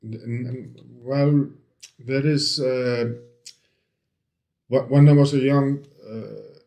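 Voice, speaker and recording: A man's voice: medium pitch, fairly even intonation, extremely slow, mellow. A man from Iceland with an Icelandic accent, giving casual interview answers in a hesitant way, with many fillers and restarts. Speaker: interview guest, book restorer. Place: home.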